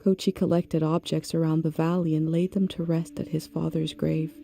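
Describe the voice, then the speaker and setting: Woman, low dark voice, introspective journal narration, faint music behind. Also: flat delivery, moderate pace, creaky. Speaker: player character narrating a journal. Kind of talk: reflective game narration.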